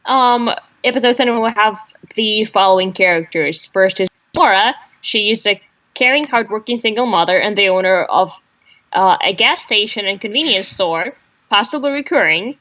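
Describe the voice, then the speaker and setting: A woman's voice: mid-range voice, normal speed, bright, forceful tone, dull audio. A young woman whose first language is Russian, co-hosting a casual fan radio chat, agreeable and full of fillers like "yeah". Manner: chatty, agreeable, many fillers. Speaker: podcast co-host.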